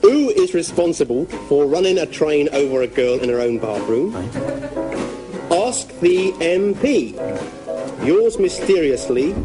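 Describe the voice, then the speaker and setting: An actor playing a dim, obliging, literal-minded police sergeant with a deadpan manner in a radio comedy. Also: male voice, a little high, measured pace, music in the background. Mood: dim, earnest.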